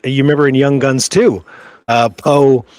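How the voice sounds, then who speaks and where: A man's voice: mid-range voice, steady tone, moderate pace, darkish tone, dry recording. A man co-hosting a podcast, chatting about history in an informal, conversational way. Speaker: podcast co-host. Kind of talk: casual, conversational history chat.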